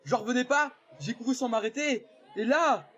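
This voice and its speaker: Guy playing a show-off teen baseball kid, teasing, super animated. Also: very high voice, moderate pace, muffled recording. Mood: boastful. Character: boastful teenage boy, baseball-loving classmate. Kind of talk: fan audio drama acting.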